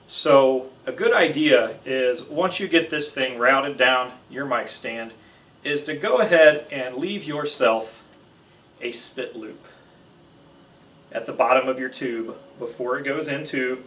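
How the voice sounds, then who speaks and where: A man's voice: medium pitch, slow pace, fairly bright tone, distant mic. An American man in his thirties giving a casual guitar tutorial with self-deprecating, joking asides. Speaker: guitar instructor. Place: small home music room.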